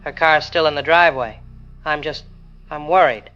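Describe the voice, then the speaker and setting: Guy acting worried polite caller on a radio show, highish voice, muffled sound. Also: normal speed, pushed and bright, soft background music. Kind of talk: radio drama phone-in acting.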